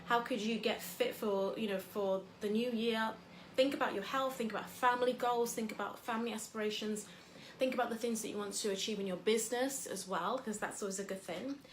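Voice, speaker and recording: A woman's voice: a little high, fairly even intonation, fairly quick, reverberant, slight background noise, poor audio. A woman from England hosting a casual motivational talk. She talks in a chatty, rambling way with lots of fillers. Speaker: host.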